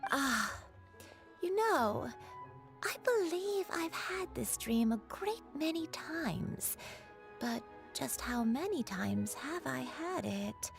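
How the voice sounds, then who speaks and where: A woman playing a gentle, forgetful old grandmother in a video game, speaking in a wandering, absent-minded way. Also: high-pitched, creaky, heavily acted.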